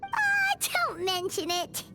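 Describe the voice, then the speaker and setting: A tiny childlike game fairy with an extremely high, squeaky voice. She is excitable and swoops wildly, over heavy noise. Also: takes it slowly, exaggerated voice. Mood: bashful. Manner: squeaky, exclamatory.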